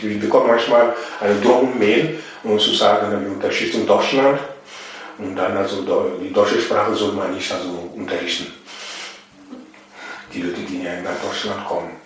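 Togolese man, echoey distant interview. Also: deep voice. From Togo. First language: Ewe and Mina. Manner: hesitant, many fillers, emphatic repetitions. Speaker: interview guest, initiative member. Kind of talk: conversational interview. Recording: far from mic, echoey room, faint noise.

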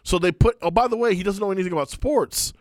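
A man's voice: fast, dry recording. A man in his thirties, a podcast co-host, telling a story in casual chat and acting out the people he quotes. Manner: animated storyteller, acts out quoted people in a higher voice.

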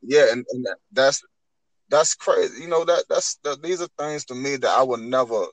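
A man's voice: mid-range voice, normal speed, no echo, poor audio. A man in his twenties speaking earnestly on spiritual matters in conversation, often repeating and rephrasing as he builds a point. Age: 20s.

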